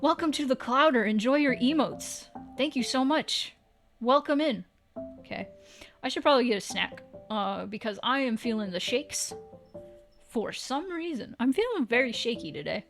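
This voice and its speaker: A woman's voice: sits high, expressive, moderate pace, a bit bright, soft background music. A woman livestreaming, chatting casually with viewers in a playful, teasing way and swearing now and then.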